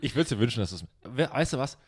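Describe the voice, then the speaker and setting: A man's voice: mid-range voice, animated, fast, slightly rough. Podcast co-host, young man, loose and teasing, plenty of ums. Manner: rambling, joking, many fillers. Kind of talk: casual chat.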